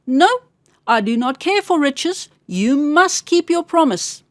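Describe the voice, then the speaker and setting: A woman's voice: high voice, expressive, slow, no echo, clean studio sound. A woman voicing a gleeful, demanding little old magical man in a storybook tale, teasing and insistent as he bargains.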